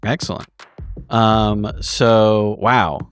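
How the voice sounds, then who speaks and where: A man giving a dramatic, improvised story recap as a tabletop roleplaying player, with wry, deliberate phrasing and pauses. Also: on the low side, steady tone, clean tone, soft background music.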